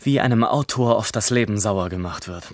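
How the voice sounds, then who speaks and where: Actor as wry literary narrator, dry close sound. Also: male voice, lowish voice, moderate pace, dry recording, close to an everyday voice. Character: wry, genial literary narrator, an author telling family history. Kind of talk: scripted narration in classic German radio play.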